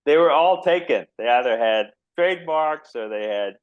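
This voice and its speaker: A man's voice: mid-range voice, lively intonation, normal speed, clean tone, no room sound, lo-fi sound. Easygoing laughing Texan man. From Texas. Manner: laughs often, easygoing and earnest. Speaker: biotech company founder, guest. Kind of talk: conversational interview answers.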